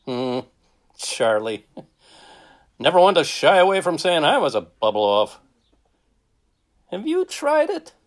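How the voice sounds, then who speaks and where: A man playing a gruff, sardonic small-town drinking man in a radio play. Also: on the high side, wildly swooping pitch, takes it slowly, pauses often, full character voice. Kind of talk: radio drama acting.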